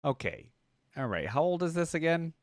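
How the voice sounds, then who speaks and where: A man's voice: on the high side, big pitch swings, normal speed, no room sound, studio quality. A young man hosting a reaction video, chatting casually with lots of fillers.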